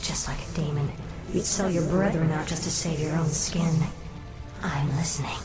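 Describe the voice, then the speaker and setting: An actress playing an embittered undead elf queen in a game cutscene, imperious, deliberate and coldly scornful. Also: low-pitched, steady tone, over loud music, close to an everyday voice. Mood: contemptuous, wary. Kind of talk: video game cutscene acting.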